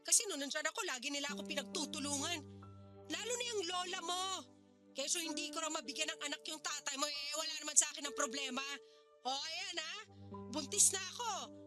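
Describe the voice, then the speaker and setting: Woman acting a whiny, high-pitched selfish Filipino mother. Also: fairly quick, over music, lightly acted. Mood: irritated. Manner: whiny, exasperated, dismissive. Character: selfish, defensive pregnant mother, dismissive of her adopted daughter. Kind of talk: Filipino radio drama acting.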